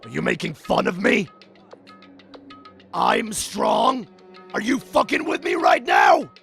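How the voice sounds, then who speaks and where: A man acts out a hot-tempered young tough weighed down by guilt. He speaks slowly and haltingly, with a hugely swinging pitch and a gravelly edge, in intense anime-dub style. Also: wildly swooping pitch, fairly bright tone, shouting, exaggerated voice. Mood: enraged. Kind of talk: dramatic anime-style fandub acting. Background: faint music behind the voice.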